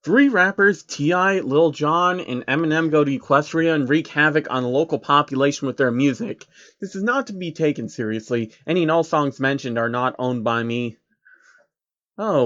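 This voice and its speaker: A man's voice: mid-range voice, moderate pace, fairly bright tone, muffled recording. A man hosting and narrating a comedic fan fiction reading, with sarcastic asides. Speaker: channel host and narrator.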